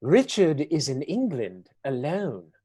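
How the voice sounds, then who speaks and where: A commanding villainous earl speaks in a dark, mellow voice whose pitch swoops widely. He is smooth, cold and condescending, a schemer in a radio play. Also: huge pitch swings, takes it slowly, full character voice. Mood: scheming.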